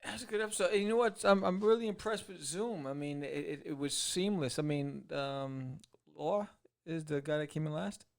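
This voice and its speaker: A tired male podcast co-host with a slightly high voice. He speaks in a low-key, hesitant way and often trails off. The tone is relaxed, casual chat. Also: normal speed, gravelly, hardly any pauses.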